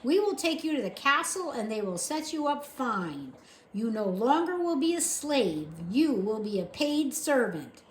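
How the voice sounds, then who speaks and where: A woman voicing a dutiful male soldier who speaks formally and shouts slowly to be understood, recorded in an echoey room. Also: high-pitched, sing-song, a little hiss or hum, voice pushed up. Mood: patient.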